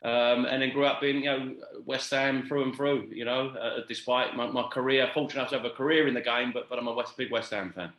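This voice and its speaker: A man around fifty with a London English accent gives chatty, reminiscing answers as an interview guest. His voice is bright and forward at a medium pitch, with clear room echo around it. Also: fairly even intonation, brisk, hardly any pauses, muffled recording. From east London, England. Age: late 40s to 50s. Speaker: interview guest, football club executive. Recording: echoey room sound.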